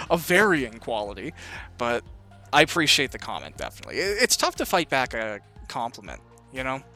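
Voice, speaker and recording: A man with a bright, forward voice at medium pitch hosts a casual interview. He talks in an encouraging, reflective way, with music playing behind him. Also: huge pitch swings, moderate pace. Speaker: interview host and author.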